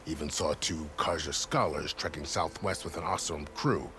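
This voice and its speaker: An actor playing a grave, courteous gate commander in a video game, speaking in a measured, formal manner. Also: male voice, a bass voice, noisy background. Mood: measured, informative. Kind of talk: game cutscene dialogue.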